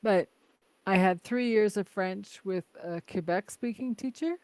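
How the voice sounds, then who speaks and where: A woman's voice: medium pitch, measured pace, a little mellow, dry recording, low-quality recording. A woman hosting a podcast from Canada, chatty and laughing often, full of "like" and "right?". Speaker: podcast host. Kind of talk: casual conversation.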